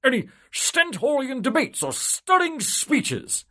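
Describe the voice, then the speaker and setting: A man putting on a pompous, high-pitched politician's soul. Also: huge pitch swings, slow, pushed and bright, full character voice. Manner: haughty, indignant, grandiose. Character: pompous corrupt male politician's soul, self-important.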